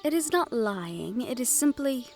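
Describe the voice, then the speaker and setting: A woman playing a sheltered young noblewoman in a fantasy audio roleplay, gentle, wistful and quietly willful, with formal phrasing. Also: on the high side, animated, a little slow, soft background music. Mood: earnest, teasing. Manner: formal speech with few contractions. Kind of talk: fantasy audio roleplay acting, addressed to the listener.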